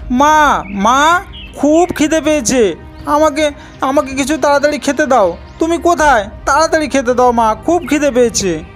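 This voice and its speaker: A woman's voice: high voice, normal speed, faint music behind, pitched above the performer's own voice, natural voice. A woman voicing an eager, earnest young village boy in a cartoon folk tale. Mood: hungry, eager. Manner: earnest, repeats his pleas. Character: woodcutter's young son, eager, polite, bold boy.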